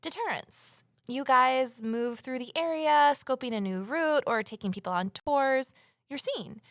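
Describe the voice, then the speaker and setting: A determined amateur sleuth woman in an audio drama. Her voice sits fairly high and is recorded close and dry, with an earnest, persuasive way of speaking. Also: measured pace, a bit bright, no echo. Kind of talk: audio drama acting and first-person narration.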